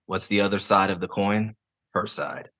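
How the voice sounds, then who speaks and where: An actor playing a shrewd, reluctant defense lawyer in 1950s radio style. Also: male voice, deep voice, level tone, normal speed, a bit bright. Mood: brisk, reluctant.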